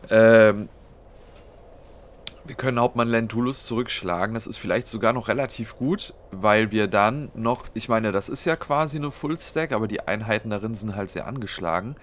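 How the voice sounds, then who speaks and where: A man's voice: fairly low pitch, moderate pace, a little hiss or hum. Man with a cold, thinking aloud. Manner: thinks aloud, many fillers, plans moves step by step. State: has a cold. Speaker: let's play host. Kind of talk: casual gameplay commentary.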